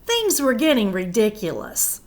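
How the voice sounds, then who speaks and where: A woman teacher reading a picture book aloud to young children, animated and expressive, with chatty asides. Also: fairly high pitch, unhurried.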